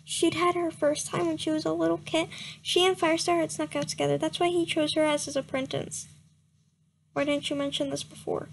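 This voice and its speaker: High-voiced young woman reading sarcastically. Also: level tone, fairly quick, vocal fry, a little hiss or hum. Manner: sarcastic asides, frequent giggles. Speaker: reader. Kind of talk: mocking dramatic reading.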